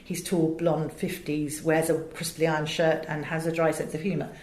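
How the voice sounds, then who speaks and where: English woman, kinda low voice, articulate interview chat about her work, echoey room sound. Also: little pitch movement, normal speed, a little mellow. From England. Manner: articulate, illustrates points with acted examples. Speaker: voice director and audiobook producer. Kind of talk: interview talk, explaining her craft.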